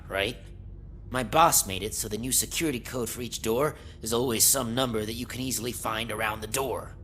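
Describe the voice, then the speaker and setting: Man playing irritable security guard, bright forceful voice. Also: medium pitch, a little hiss or hum, lightly acted. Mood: exasperated. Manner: blunt, grumbling, sarcastic. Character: gruff, irritable male office security guard. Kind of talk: video game voice acting.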